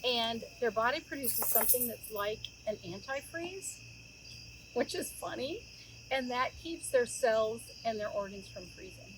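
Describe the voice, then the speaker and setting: A woman's voice: fairly high pitch, expressive, unhurried. A middle-aged woman hosting a children's story time, chatting informally to young listeners and addressing them directly. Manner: conversational, addresses listeners with questions. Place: outdoors by a campfire in woods. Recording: outdoor recording with clear insect noise. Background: crickets and insects, outdoor ambience.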